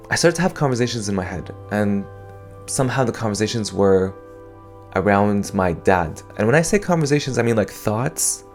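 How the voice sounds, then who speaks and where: A young man from Canada speaking in a thoughtful, hesitant way, with many fillers and restarts, as he reflects on personal experience. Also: fairly low pitch. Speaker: podcast host.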